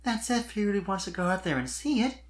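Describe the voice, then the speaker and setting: A performer voicing a sweet, shy but earnest young female pony in a fan fiction reading, soft-spoken and tentative. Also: medium pitch, animated, quick pace, voice pushed up, close to an everyday voice. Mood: tender, assured.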